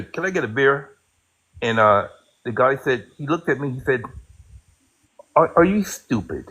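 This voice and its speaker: A man in his fifties from Barbados, a military veteran, telling a story casually in a halting way. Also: mid-range voice, fairly bright tone, slightly rough, poor audio. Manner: halting, many fillers and restarts while telling a story.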